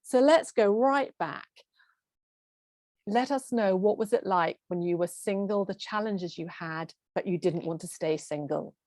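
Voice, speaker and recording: A woman hosting an online summit, introducing her guest with enthusiasm and asking warm, effusive questions. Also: medium pitch, a little slow, no room sound, studio quality. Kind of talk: hosted online interview.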